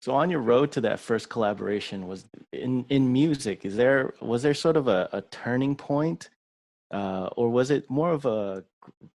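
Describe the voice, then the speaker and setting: A man hosting an interview, asking friendly, rambling questions in a relaxed way. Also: mid-range voice, moderate pace, no room sound. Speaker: interview host. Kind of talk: casual interview.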